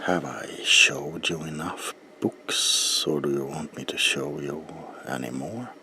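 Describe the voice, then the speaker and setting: A man whispering slowly and deliberately in an ASMR roleplay as a politely attentive bookseller, with a breathy, low voice. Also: sharp tone, slight background noise. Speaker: ASMR performer playing a bookseller.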